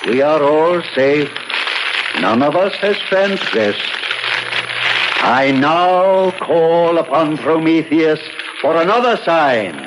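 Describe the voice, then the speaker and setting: A solemn prophet in a 1970s radio drama. He intones grandly and very slowly in a fairly high voice, like a zealot at a rite. The old recording is noisy. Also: animated. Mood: solemn, exalted. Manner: incantatory, grandiose.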